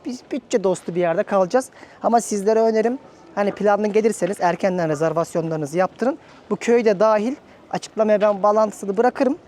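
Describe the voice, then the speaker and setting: A man's voice: sits high, a little mellow, a little hiss or hum. A man hosting a casual travel vlog, talking to viewers in a chatty, informal, joking way. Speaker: travel vlogger.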